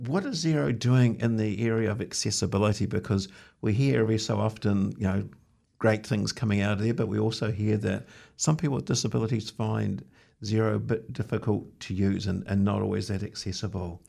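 Very creaky, husky New man. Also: lowish voice, steady tone, a little fast, warm tone. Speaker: radio or podcast interviewer. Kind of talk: casual interview questions.

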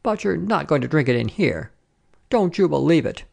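A man's voice: on the high side, sing-song, normal speed, creaky, darkish tone, voice pushed up, full character voice. A man voicing an indignant French café woman in a short story, screaming and scolding as she repeats herself.

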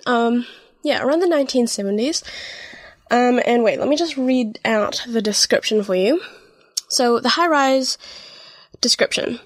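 A fairly high-voiced woman hosts a casual solo podcast, her voice a little croaky and nasal because she is unwell. She rambles, apologizes and fills gaps with ums. The sound is close and dry. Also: no echo. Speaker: podcast host.

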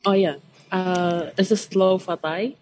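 A woman's voice: lowish voice, fairly even intonation, takes it slowly, a little mellow. A young woman student guest, chatty and rambling, full of likes and ums. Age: young adult. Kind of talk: casual radio chat.

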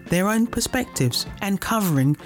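A woman's voice: mid-range voice, animated, normal speed. A woman reading a scripted, formal programme introduction. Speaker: announcer. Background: guitar music.

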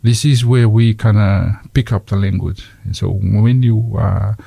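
A man with a Fijian accent hosting a community radio chat, halting with many fillers and slipping into Fijian phrases. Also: fairly low pitch, fairly even intonation, vocal fry, dark tone, husky, dry recording. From Fiji. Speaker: radio host.

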